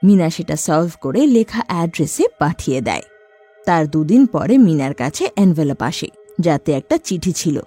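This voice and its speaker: A woman narrating a horror story in a lively storytelling manner. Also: low-pitched, moderate pace, husky, soft background music.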